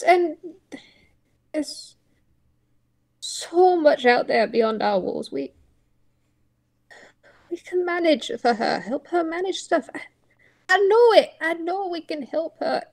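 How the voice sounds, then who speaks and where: A woman voicing an old male tortoise uncle, solemn, protective and stubborn, pleading in halting, repeated words. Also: sits high, big pitch swings, darkish tone, voice pushed up, exaggerated voice. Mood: stubborn, distressed. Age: older.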